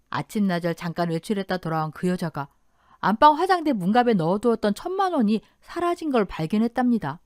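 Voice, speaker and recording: A woman's voice: medium pitch, darkish tone, no room sound, clean studio sound. A woman narrating a scripted dramatic family story in the first person.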